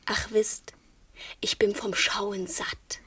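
An actress in a radio drama playing an adoring, naive young girl with a breathy voice and a coy, teasing manner. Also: medium pitch, little pitch movement, slow, fairly bright tone, heavily acted. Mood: adoring, hushed. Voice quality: breathy, often near whisper.

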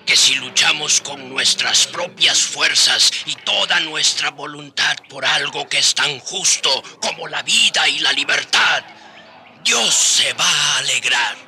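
An actor playing a wise old Indian rebel in a radio drama, reflective and devout, speaking solemnly and with passion. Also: male voice, on the high side, bright, forceful tone, faint music behind, full character voice. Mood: resolute. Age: older. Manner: solemn, impassioned, slow and deliberate. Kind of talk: radio drama acting, part narration.

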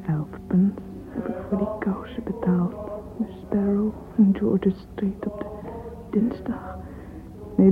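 Self-absorbed young woman, radio play. Confiding, breathy, whispery inner voice, thin noisy recording. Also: medium pitch. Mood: vain, secretive. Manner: intimate, confiding. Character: dreamy, vain, catty romantic young woman musing privately. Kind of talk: radio drama interior monologue. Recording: tinny, hissy old radio recording.

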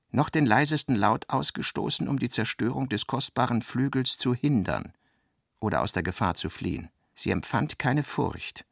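Creaky-voiced man reading literary narration. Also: medium pitch, dry recording, clean studio sound. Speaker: narrator, reader of literary text and notes. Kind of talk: scripted literary narration for a radio play.

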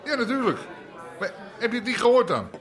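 An actor playing a blustering middle-aged underworld boss in a radio drama. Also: male voice, extremely high pitch, sing-song, brisk, fairly bright tone, a faint crowd, heavily acted. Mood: boisterous, chummy. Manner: blustering, colloquial. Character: brash, boisterous Amsterdam underworld boss.

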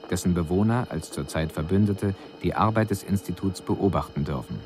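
An actor playing a male alien emissary who reports on humans in a measured, formal, analytical way in a radio drama. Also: low-pitched, a little mellow, slight background noise, close to an everyday voice. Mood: sober, analytical.

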